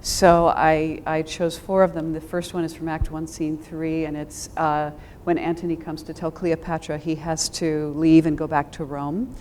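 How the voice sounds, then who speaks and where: A woman with a slightly low voice talks as a theater director in a panel discussion. She is reflective and thoughtful. Her delivery halts and pauses mid-phrase as she works through her ideas. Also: flat delivery, a little mellow, slight background noise.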